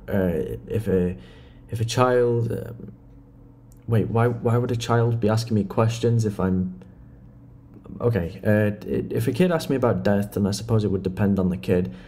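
A young British man acting an eager but nervous teenage job applicant. His voice is low and soft-edged, and he speaks hesitantly, stammering and restarting as in a naturalistic horror audio drama. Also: a little hiss or hum. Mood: uneasy, puzzled. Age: late teens. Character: nervous, eager nineteen-year-old male job applicant.

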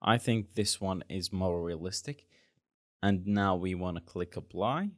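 A man in his twenties narrating a software tutorial as its host, explaining step by step. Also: deep voice, measured pace, no echo, clean studio sound. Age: 20s.

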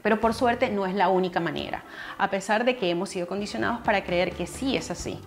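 Young female host, steady explainer to camera, lapel mic, faint music bed. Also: medium pitch, quick pace. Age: young adult. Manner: steady, explaining, addresses viewer directly. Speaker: finance channel host. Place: living room, seated on a couch indoors.

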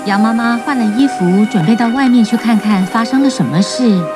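A woman's voice: on the high side, a little fast, over music. A woman storyteller giving scripted narration for a children's tale.

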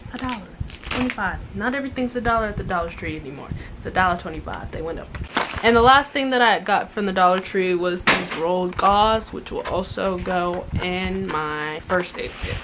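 A young woman casually showing off a shopping haul from her couch, chatting in a relaxed way and listing items with asides. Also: on the low side, a little fast, heavy background noise. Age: young adult. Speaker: vlogger. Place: living room, on a couch indoors.

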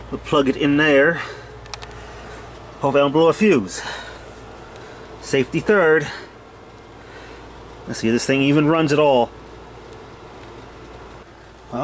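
A man's voice: a little high, a little hiss or hum. A man giving casual, off-the-cuff repair commentary in a plain-spoken, thinking-aloud way, recorded on a handheld camera in a cluttered workshop. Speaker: hobbyist repairer narrating own video.

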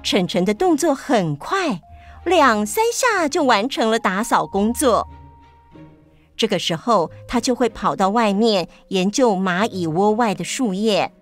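A woman's voice: mid-range voice, animated, measured pace, soft background music. A woman narrating a story for children as a storyteller.

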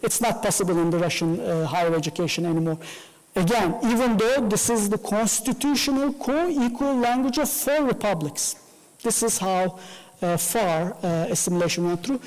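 A man's voice: high voice, a little mellow, long reverb tail, poor audio. A man whose first language is Turkish, giving an academic lecture at a lectern with many fillers. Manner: gestures while talking, frequent fillers. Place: lecture room. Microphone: lectern mic, far camera.

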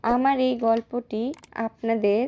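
A woman's voice: on the high side, fairly even intonation, unhurried, highs cut off, a little hiss or hum. A woman narrating a cartoon story.

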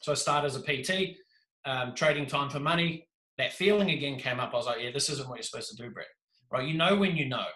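A man in his thirties with a New Zealand accent, telling his story as a podcast guest on a video call, animated and jokey. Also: mid-range voice, fast. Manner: animated, gestures a lot, jokey. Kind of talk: casual storytelling. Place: small room indoors. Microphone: video call with desk mic. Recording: echoey room.

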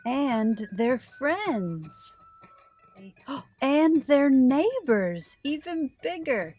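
A woman's voice: high voice, very animated, drawn-out words, warm tone, faint music behind. A middle-aged woman hosting a children's story time, reading aloud expressively and putting simple questions to her young listeners.

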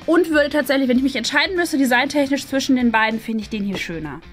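A woman's voice: high-pitched, animated, fast talker. A woman, hosting a casual, chatty product review. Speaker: host of an unboxing video. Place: small decorated indoor room. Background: faint music.

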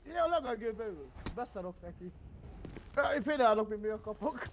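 A man's voice: high-pitched, expressive, a crowd in the background. A man chattering casually during an online game.

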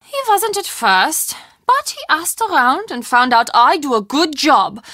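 Earnest, bright-voiced castle manager woman. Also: high voice, unhurried, no room sound, full character voice. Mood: guarded. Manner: conversational, confiding, emotionally expressive. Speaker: video game character. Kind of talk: video game dialogue acting.

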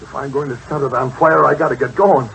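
An actor playing a crooked, desperate racket boss in a 1940s radio crime drama. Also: male voice, mid-range voice, steady tone, fast talker, gravelly, fairly bright tone. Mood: tense, scheming. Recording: noisy vintage radio recording.

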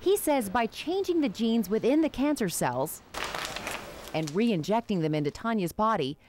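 A woman's voice: mid-range voice, expressive, normal speed, background noise. A woman with an American accent narrating a scripted TV news report.

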